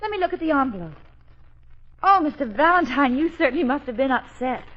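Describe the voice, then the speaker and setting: Casting: anxious devoted secretary, very high swooping voice, vintage radio comedy. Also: female voice, animated, a little fast. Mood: astonished, concerned. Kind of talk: 1940s radio comedy acting. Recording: muffled old radio recording.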